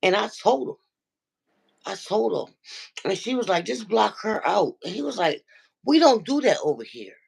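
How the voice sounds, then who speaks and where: A man with a deep, nasal voice who calls into a livestream and tells a story, acting out what other people said. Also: normal speed, vocal fry, pauses often, breathy edge, poor audio. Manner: animated storyteller, acts out quoted dialogue. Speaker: regular viewer calling into a livestream. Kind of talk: casual chat, retelling an online argument.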